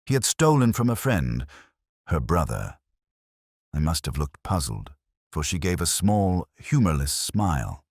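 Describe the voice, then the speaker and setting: A man playing a weary, melancholy police inspector, narrating a mystery drama in solemn, literary, measured phrases. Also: low-pitched, very animated, darkish tone, slightly rough, dry recording, studio quality, full character voice. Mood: somber, reflective. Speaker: narrator of a detective audio drama. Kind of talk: scripted first-person mystery narration.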